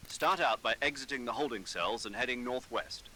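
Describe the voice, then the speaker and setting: A man's voice: mid-range voice, moderate pace, background noise, lightly acted. An actor playing a businesslike, cautionary male mission commander giving clipped instructions in a video game briefing. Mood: concerned, instructive.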